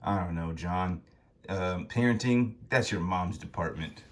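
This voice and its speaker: A man's voice: deep voice, measured pace, a little hiss or hum, pitched below the performer's own voice. A man voicing an uncaring middle-aged father in a story, brushing someone off. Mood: dismissive.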